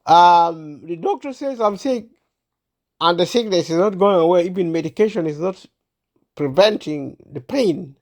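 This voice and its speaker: A sixty-year-old man from Uganda talks alone to his channel's viewers. His voice sits high and carries a gravelly edge. He speaks haltingly, stopping for fillers and restarts, and clears his throat now and then because he is unwell. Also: expressive. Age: 60s. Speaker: channel host. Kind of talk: casual talk to camera.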